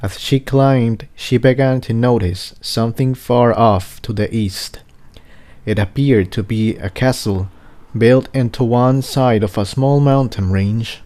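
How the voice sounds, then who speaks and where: A man reading a scripted story as narration, in a measured way with the odd stumble and repeated word. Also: medium pitch, dark tone. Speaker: amateur fan fiction audiobook reader.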